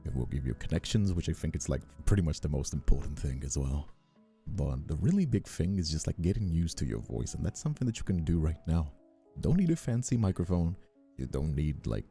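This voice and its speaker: Very deep, gravelly, dark-voiced man. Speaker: streamer and voice actor hosting an ASMR livestream. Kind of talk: unscripted ASMR livestream chat.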